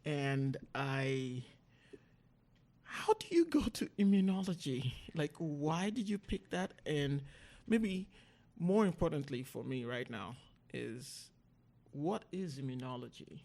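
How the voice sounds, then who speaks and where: A woman podcast host chatting casually with a guest, asking questions in an informal, halting way. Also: deep voice, animated, a little mellow. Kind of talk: casual interview chat.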